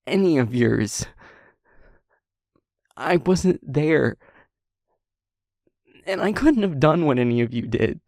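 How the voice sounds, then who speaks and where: A man acting a guilt-ridden, tender-hearted young man in an intimate audio drama, with a breathy, husky voice and a halting, stammering, earnest delivery. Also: a little high, animated, darkish tone, no echo, clean studio sound, full character voice. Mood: distraught, self-blaming. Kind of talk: intimate audio roleplay drama, emotional monologue.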